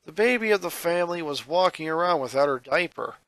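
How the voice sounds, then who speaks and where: High-pitched man narrating a story. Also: lively intonation, a little fast, fairly bright tone, no echo. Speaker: fan fiction reader.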